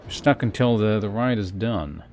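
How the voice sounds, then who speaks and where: A man's voice: lowish voice, expressive, normal speed, darkish tone, soft background music. A man streaming a video game, giving casual live commentary and thinking aloud in short muttered remarks.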